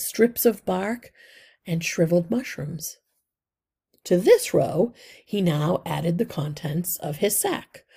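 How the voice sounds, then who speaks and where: A woman reading a children's novel aloud as an expressive storyteller. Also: lowish voice, creaky, husky. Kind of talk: expressive scripted narration for children.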